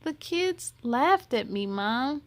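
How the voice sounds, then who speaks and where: Woman voicing a plaintive little first-grade girl, high-pitched. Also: lively intonation, slow pace. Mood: pleading. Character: little first-grade girl, self-conscious, wanting to fit in. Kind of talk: storybook character voice.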